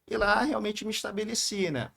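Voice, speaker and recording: An easygoing man with a thick carioca accent, speaking a little higher than most men. He talks about his life in a relaxed podcast-guest manner, ready to laugh along. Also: normal speed, vocal fry. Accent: carioca, Rio de Janeiro. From Rio de Janeiro, Brazil. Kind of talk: casual podcast conversation.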